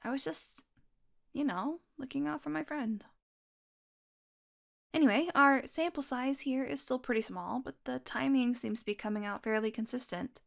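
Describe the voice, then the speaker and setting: A woman's voice: high voice, moderate pace, creaky. A woman acting a playful, sardonic, curious young vampire in an intimate audio roleplay. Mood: earnest, casual. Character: teasing, curious young female vampire doing experiments.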